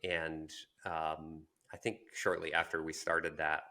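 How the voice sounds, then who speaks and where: A man co-hosting a podcast, talking casually and reflectively, pausing to search for his words. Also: rumbling low, level tone, bright, forceful tone. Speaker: podcast co-host.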